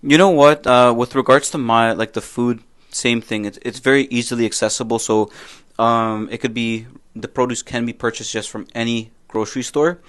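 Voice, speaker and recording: A man's voice: mid-range voice, steady tone, normal speed, fairly bright tone, smooth. A young man from Canada co-hosting a casual podcast, friendly and prone to repeating himself and restarting his sentences. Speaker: podcast co-host.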